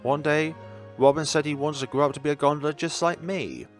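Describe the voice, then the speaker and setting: A man voicing a gentle, proud mother who reminisces wistfully about her son in a visual novel. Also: fairly high pitch, a little fast, faint music behind, pitched above the performer's own voice, lightly acted. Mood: nostalgic.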